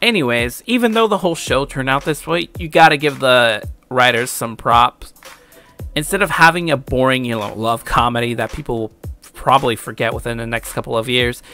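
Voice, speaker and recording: A man's voice: medium pitch, normal speed, pushed and bright, soft background music. A young adult man giving casual, opinionated anime commentary in a slangy way with some swearing.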